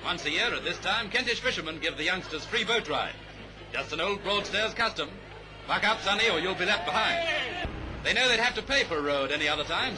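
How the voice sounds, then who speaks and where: A British man narrating a 1930s newsreel in a brisk, declamatory, jaunty announcer's manner. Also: high-pitched. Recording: vintage 1930s newsreel soundtrack, music underneath. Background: music.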